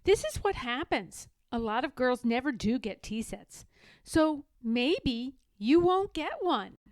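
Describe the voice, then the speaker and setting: Storybook schemer, voiced by a woman: boastful little girl, high voice, knowing and persuasive delivery. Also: sing-song, slow, dry recording, full character voice. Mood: sly, discouraging. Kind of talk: children's storybook read-aloud.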